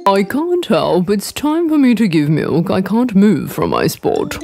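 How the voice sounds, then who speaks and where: Lazy cow, dark mellow drawl, swoopy cartoon voice. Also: female voice, mid-range voice, huge pitch swings, unhurried, vocal fry, soft background music, heavily acted. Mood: reluctant, lazy. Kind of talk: children's cartoon story acting.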